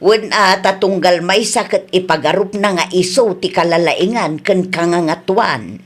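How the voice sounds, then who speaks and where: A woman playing an earnest, expressive family mother in a radio drama, with an Ilocano accent. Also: lowish voice, moderate pace, close to an everyday voice. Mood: disappointed.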